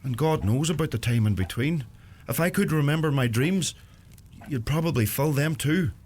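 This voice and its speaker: An actor playing an earnest, plain-spoken young Irish farmer with a Donegal accent, torn by guilt, in a radio play. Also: male voice, on the high side, animated, normal speed, a little mellow, slight background noise, close to an everyday voice. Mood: yearning, torn.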